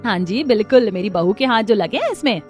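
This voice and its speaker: A woman's voice: mid-range voice, lively intonation, fairly quick, a little mellow, soft background music, close to an everyday voice. A woman voicing a kind, reassuring middle-aged mother-in-law in a Hindi cartoon story. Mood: proud, affectionate.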